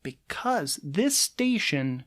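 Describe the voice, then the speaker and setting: Higher-pitched man narrating a solo game. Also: sing-song, drawn-out words, a bit bright. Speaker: solo game master and player. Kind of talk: casual solo tabletop game narration, thinking aloud.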